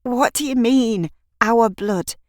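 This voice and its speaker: A caring girl, played by a woman, speaking in an audio drama. Her voice sits high and sounds anxious, urgent and hushed as she coaxes and soothes. The recording is dry and close. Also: takes it slowly, dry recording. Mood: soothing.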